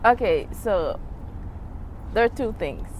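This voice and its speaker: A woman's voice: mid-range voice, expressive, slow, rough recording. Young woman, from Senegal, Wolof native, casual chatty guest, filler-heavy, faint street noise. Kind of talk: casual chat.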